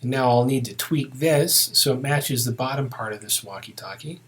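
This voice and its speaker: A man teaching software in a casual, unscripted way, thinking aloud as he walks through each step. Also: mid-range voice, normal speed. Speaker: software tutorial instructor. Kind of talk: narrated step-by-step screen tutorial, unscripted.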